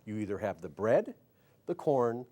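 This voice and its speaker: A man's voice: fairly low pitch, sing-song, moderate pace, mellow. A man hosting a casual talk show, rambling and cutting himself off mid-sentence. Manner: rambling, self-interrupting.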